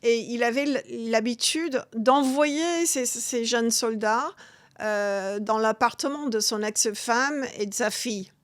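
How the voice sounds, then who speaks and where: A woman, talking as a guest author in an interview, halting with many restarts and fillers. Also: fairly high pitch, no echo, studio quality. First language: English. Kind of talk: interview conversation.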